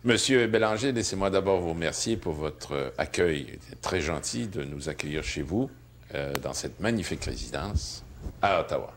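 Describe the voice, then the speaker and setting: A man's voice: deep voice, expressive, normal speed, slight background noise. A middle-aged man hosting a community television interview, asking questions in a formal, polite way. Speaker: TV interview host. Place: living room at home.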